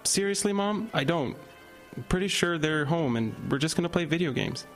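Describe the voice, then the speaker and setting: A man's voice: a little high, animated, normal speed, soft background music, lightly acted. An actor playing a sarcastic teenage boy who bickers with his younger brother and mother. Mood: evasive. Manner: sulky, teasing. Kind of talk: audio drama acting.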